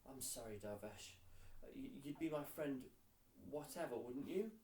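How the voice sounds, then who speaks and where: A man's voice: medium pitch, expressive, measured pace, a little mellow, a little hiss or hum, close to an everyday voice. A man voicing a sensitive, earnest young schoolboy in a storybook. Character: sensitive young schoolboy footballer, eager to please. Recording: roomy echo, distant mic.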